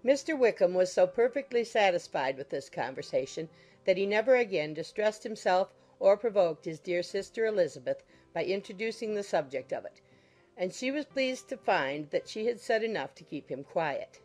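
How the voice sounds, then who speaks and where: A woman's voice: medium pitch, lively intonation, moderate pace, a little mellow, soft background music. A woman reading scripted literary narration as an audiobook narrator.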